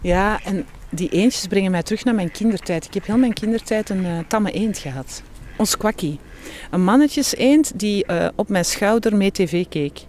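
A woman's voice: mid-range voice, lively intonation, moderate pace, a little mellow, a little hiss or hum. A woman with a Flemish accent chatting candidly and expressively as an interview guest. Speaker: guest, author and healthy-lifestyle speaker. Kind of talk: casual interview chat.

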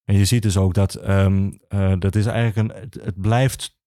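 A man the explains history informally as an expert guest, halting and repeating himself as he searches for words. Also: fairly low pitch, flat delivery, dark tone, no room sound, clean studio sound. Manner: hesitant, halting, with many pauses and repetitions. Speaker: archivist, podcast guest.

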